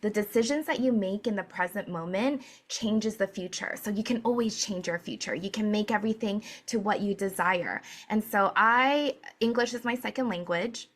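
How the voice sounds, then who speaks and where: A woman from Hawaii, a business coach and author, telling her story in an energetic, earnest way and laughing often. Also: medium pitch, normal speed, hardly any pauses. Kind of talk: conversational interview answers.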